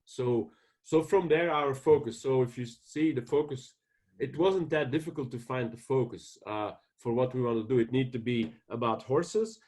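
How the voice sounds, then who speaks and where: A man with a Belgian accent, a guest ranch owner talking earnestly in an interview, often pausing on fillers and restarting. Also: mid-range voice, a little mellow. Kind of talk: casual interview.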